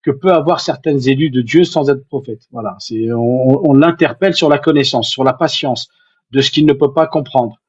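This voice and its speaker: A man's voice: medium pitch, moderate pace, breathy edge, highs cut off. A man in a live online debate, speaking hesitantly and reflectively, often repeating words as he explains his beliefs. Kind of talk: casual live discussion. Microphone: live stream call.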